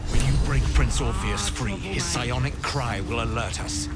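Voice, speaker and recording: An actor playing a fervent, devout alien rebel knight in a video game, speaking in a solemn, oratorical way. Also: male voice, medium pitch, measured pace, over loud music, close to an everyday voice. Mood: earnest, resolute. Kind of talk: video game cutscene acting.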